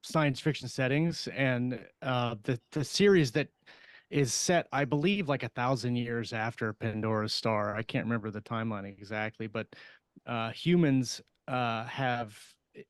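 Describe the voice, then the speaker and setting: A man co-hosting a podcast in casual discussion, with a halting, hesitant delivery. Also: medium pitch, smooth, dry recording. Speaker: podcast co-host.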